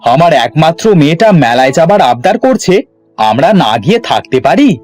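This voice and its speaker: Male actor as cartoon farmer, fairly high voice, swooping dramatic delivery, music underneath. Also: normal speed. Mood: playful, fond. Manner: dramatic, expressive. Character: village farmer, grumbling husband and doting father. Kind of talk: cartoon story character acting.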